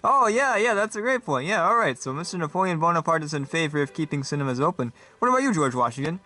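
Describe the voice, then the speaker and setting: A man playing a peppy, bombastic debate moderator in a comedy sketch, with an animated game-show announcer delivery. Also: fairly high pitch, fast talker. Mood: upbeat, showy. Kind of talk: game-show style hosting.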